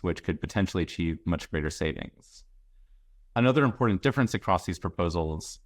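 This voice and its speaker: A man on a video call giving a measured, methodical explanation of health policy as a researcher. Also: low-pitched, no echo, clean studio sound.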